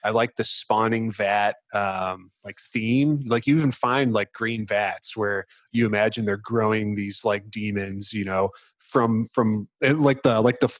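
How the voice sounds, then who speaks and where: A man's voice: on the low side, steady tone, unhurried, few pauses, no room sound. A man co-hosting a podcast, chatting casually and bantering about games. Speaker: podcast co-host.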